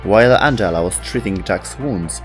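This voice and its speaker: A man's voice: fairly low pitch, moderate pace, loud music behind the voice. A man reading scripted narration as the narrator of a video game lore explainer.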